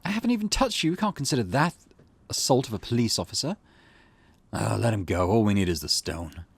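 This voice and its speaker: Man putting on a low, gruff detective boss. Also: lively intonation, fairly quick, no room sound, full character voice. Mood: stern. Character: gruff, steady male police detective boss. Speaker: let's player voicing game dialogue. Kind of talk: visual novel dialogue read aloud.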